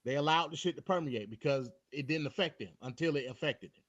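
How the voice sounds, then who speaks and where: A man's voice: fairly high pitch, fast, a bit bright, muffled recording. A man speaking bluntly and with profanity, arguing casually about politics as a call-in guest over a phone.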